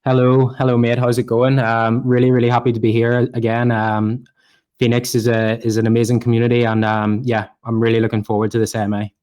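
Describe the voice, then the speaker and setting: Irish man, hesitant, smooth voice. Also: medium pitch, monotone, fairly quick, a little mellow. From Ireland. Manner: hesitant, many ums and restarts. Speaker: crypto project co-founder, guest. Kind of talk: casual interview talk.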